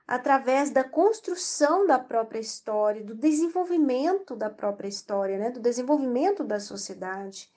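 A woman's voice: fairly high pitch, a little mellow. A woman linguistics scholar giving an academic explanation in an interview.

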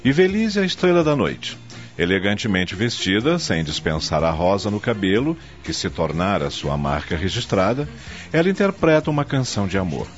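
Brazilian guy narrating a radio drama, lowish dramatic voice, kinda muffled lo-fi. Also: big pitch swings, moderate pace. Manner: dramatic storytelling delivery. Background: faint music.

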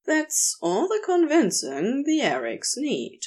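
A woman reading a story aloud as narrator, in an expressive scripted style. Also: high voice, takes it slowly. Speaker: narrator, amateur audio fiction reader.

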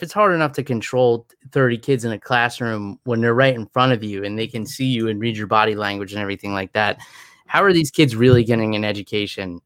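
A man's voice: mid-range voice, fairly bright tone, clear, resonant tone, no room sound. A man co-hosting a podcast in easygoing, rambling chat, laughing often. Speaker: podcast co-host.

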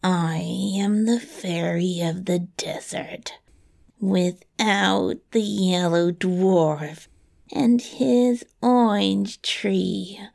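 A woman voicing a vengeful, ugly, ancient fairy who threatens in slow, drawn-out, half-whispered words in a storybook reading. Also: mid-range voice, vocal fry, a little mellow, full character voice.

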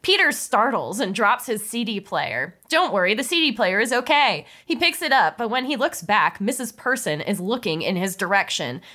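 A woman podcast host narrating a comedy script in a lively way, with playful asides. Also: mid-range voice, moderate pace, few pauses.

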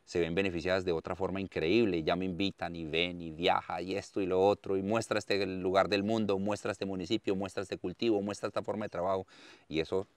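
A man in his thirties with a Santander Colombian accent speaks in a very low, smooth and resonant voice. He tells his life story earnestly and expressively, often repeating words for emphasis. The recording is close and dry. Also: level tone, a little fast, no room sound. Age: 30s. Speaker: interviewee, farmer and content creator. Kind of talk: conversational storytelling interview.

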